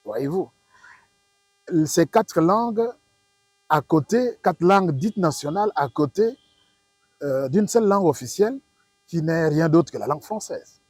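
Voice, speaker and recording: A man's voice: a little high, lively intonation, measured pace, long pauses, darkish tone, no room sound. A man with a Congolese French accent, a professor explaining in an interview with emphatic, didactic repetition and rhetorical questions. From Democratic Republic of the Congo. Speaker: professor, linguistics expert.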